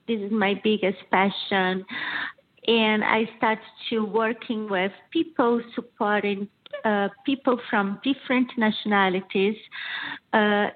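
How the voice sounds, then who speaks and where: A middle-aged woman from southern Brazil with a Brazilian Portuguese accent, a coach answering interview questions in a measured, thoughtful way. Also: medium pitch. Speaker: guest coach. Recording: tinny phone line.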